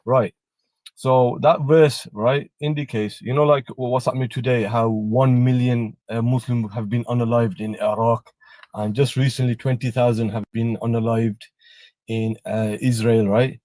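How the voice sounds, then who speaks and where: A man with a dark, mellow voice argues his case on a live debate call. His speech is full of halting restarts, and the sound is dry and close. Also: mid-range voice, fairly even intonation, dry recording. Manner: halting, stammering restarts, flares up when interrupted. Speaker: debate caller. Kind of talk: heated live online debate.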